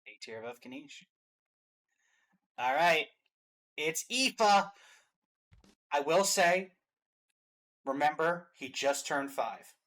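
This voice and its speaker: A man's voice: a little high, expressive, takes it slowly, pauses often, fairly bright tone. A man co-hosting a reaction show, giving casual commentary.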